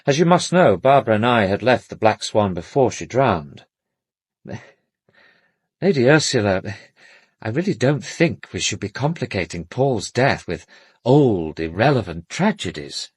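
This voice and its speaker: Low, husky, guarded gentleman. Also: very animated, measured pace, no room sound, studio quality, full character voice. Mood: guarded, defensive. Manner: polite, guarded, formal. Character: smooth-mannered, defensive upper-class male family associate. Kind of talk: radio drama acting.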